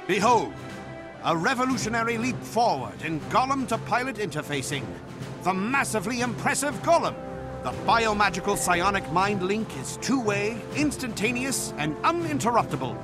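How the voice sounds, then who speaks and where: Boastful small-creature inventor, high voice with animated showman delivery, game cutscene over music. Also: moderate pace. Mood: proud. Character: proud, showy young male asura inventor, small goblin-like. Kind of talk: video game cutscene acting. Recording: music behind the voice.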